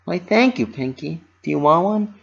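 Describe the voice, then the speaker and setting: A narrator voicing a brisk female flower-seller pony from a cartoon storybook, sharp and quick to scold. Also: mid-range voice, lively intonation, gravelly, dull audio, pitched above the performer's own voice. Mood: friendly. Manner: sharp, indignant.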